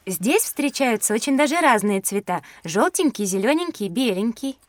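A woman's voice: fairly high pitch, very animated, moderate pace, no room sound. A woman voicing a pert, teasing young schoolgirl, inquisitive and childlike, in a scripted children's audio drama. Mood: curious.

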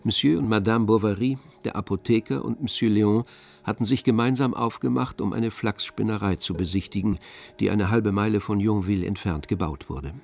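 A man narrating a literary audio drama in measured, polished storytelling phrases. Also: on the low side, vocal fry, darkish tone.

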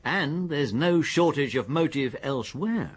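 An actor with an English accent playing a methodical, deferential police detective in a radio drama, his voice husky. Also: on the high side, expressive. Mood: confident, deferential. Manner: deliberate, factual, deferential. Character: methodical, deferential male police detective, confident and matter-of-fact. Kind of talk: British radio drama acting.

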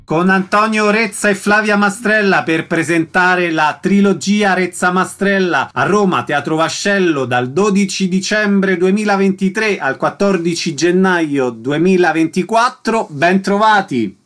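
A man's voice: sits high, measured pace, hardly any pauses, fairly bright tone, faint music behind. A man hosting a radio interview, reading out show details and asking questions. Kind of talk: scripted radio announcements and interview.

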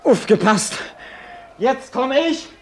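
An actor playing a hot-headed weaver's son in a radio drama, loud and explosive. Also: male voice, extremely high pitch, animated, slow, slight background noise, heavily acted. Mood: enraged. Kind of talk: German radio drama acting.

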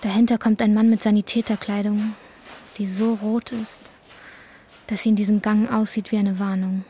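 A woman's voice: mid-range voice, flat delivery, normal speed, mellow, a little hiss or hum, natural voice. A woman narrating a literary radio drama, recounting an anxious man's inner thoughts. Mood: reflective, measured.

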